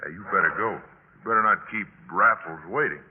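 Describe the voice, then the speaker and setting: A man's voice: low-pitched, brisk, close to an everyday voice. An actor playing a wry young male college student in a 1950s radio drama, speaking in dry, terse lines. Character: wry, plain-spoken young male pre-med student. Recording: tinny old radio, long reverb, faint noise.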